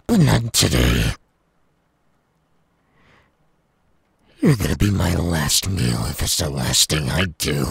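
Male demon villain, audio roleplay: menacing and taunting, gravelly, swinging widely in pitch, recorded close and dry. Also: dry recording, full character voice. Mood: hungry menace. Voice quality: gravelly, raspy. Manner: slow, taunting, drawn-out delivery with wide swings. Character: menacing, sadistic male demon villain craving human flesh. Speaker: voice actor.

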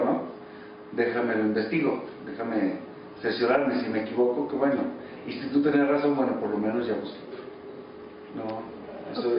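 A Mexican man in his thirties answering interview questions casually and haltingly, searching for words. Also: fairly low pitch. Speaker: actor being interviewed. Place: small office indoors. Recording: echoey, muffled, noisy, distant microphone.

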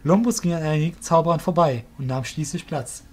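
A man's voice: on the high side, brisk, darkish tone, faint music behind. A man narrating a fantasy audio drama as a scripted storyteller.

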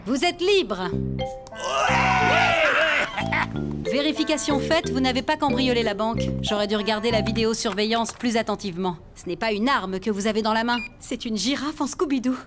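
Lady doing a brisk cartoon cop woman, official, kinda high voice, loud music under it. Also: close to an everyday voice. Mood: apologetic. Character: stern policewoman who turns apologetic and admiring. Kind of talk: cartoon voice acting. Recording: music behind.

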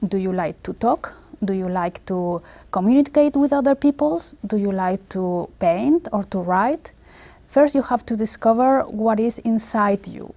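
A woman in her forties from Spain, speaking English with a Spanish accent in a seated office interview, earnest and measured with many filler pauses. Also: medium pitch, vocal fry, warm tone. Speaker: space agency engineer. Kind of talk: documentary interview. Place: office with large screen and window.

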